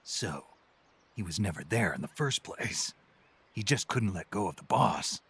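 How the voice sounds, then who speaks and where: An actor playing a gruff, weary middle-aged male soldier in a video game, speaking tersely in a gravelly, husky voice. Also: expressive, a little slow, a bit bright, heavily acted. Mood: troubled. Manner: terse, low-key, questioning.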